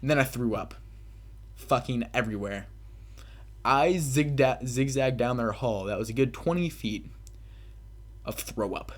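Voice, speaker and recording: A young man with a mid-pitched voice rambles through a casual story as if chatting to his viewers. He swears freely and talks with crude, self-mocking bravado, in the loose style of gameplay commentary. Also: measured pace, pauses often. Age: young adult. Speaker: gaming video commentator.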